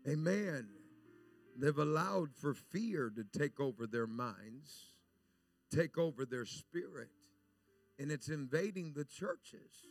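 A man, a guest preacher whose first language is Spanish, delivering a Pentecostal sermon and dropping "amen" and "praise God" between his sentences. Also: fairly high pitch. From El Salvador.

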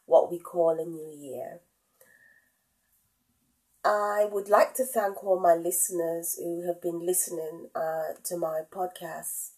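A middle-aged woman hosting her own podcast, talking casually with a head cold and many pauses and ums. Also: on the low side, mellow, low-quality recording. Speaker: podcast host. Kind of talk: casual solo podcast talk.

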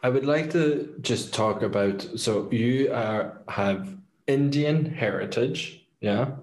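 A man hosting an interview, asking gentle, probing questions. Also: mid-range voice, takes it slowly. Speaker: interview host. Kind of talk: conversational interview. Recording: echoey room.

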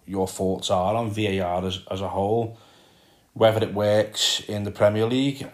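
A man with a Liverpool accent hosting his own podcast, chatting casually and rambling with frequent ums and repeated words. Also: deep voice. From Liverpool, England. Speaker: podcast host. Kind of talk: casual solo podcast talk.

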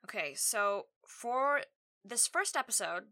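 A woman's voice: a little high, lively intonation, slow, bright, forceful tone. A woman in her thirties from Australia, hosting her own podcast in a chatty, candid monologue with frequent laughs and asides. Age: 30s. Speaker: podcast host. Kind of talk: casual solo podcast monologue.

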